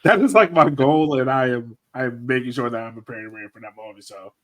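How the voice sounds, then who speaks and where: A man's voice: medium pitch, fairly quick, a bit bright. A man hosting a podcast, chatting informally with loose phrasing and a lot of "like". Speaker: podcast host. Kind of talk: casual podcast chat.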